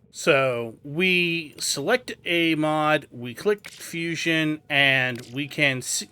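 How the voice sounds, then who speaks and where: A man narrates a game tutorial in a bright, forward voice at a middling pitch. He is methodical and explains things step by step. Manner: methodical, instructional. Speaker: game guide host.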